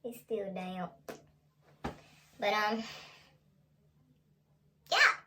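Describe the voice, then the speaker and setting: A woman's voice: mid-range voice, sing-song, drawn-out words, noisy background. A young woman vlogger talking casually in a playful, slangy way, as in an ASMR role play.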